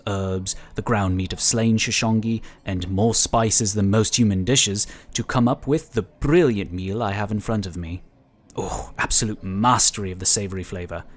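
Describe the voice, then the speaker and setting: Man acting as amiable sci-fi inquisitor, low voice, talkative, knowledgeable, encouraging, audio drama. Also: natural voice. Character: amiable, knowledgeable male psionic inquisitor who loves food.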